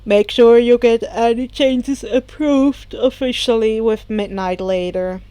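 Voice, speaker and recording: A woman's voice: fairly high pitch, clean tone, no echo, close to an everyday voice. Woman doing a weary, dismissive male teacher. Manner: sighing, flat, unhurried.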